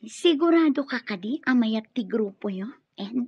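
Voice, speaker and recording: A woman's voice: fairly high pitch, lively intonation, normal speed, slightly rough, no room sound. A woman with an Ilocano accent playing a friendly, trusting young student in a radio drama. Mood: hesitant. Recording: dull radio drama sound, music behind.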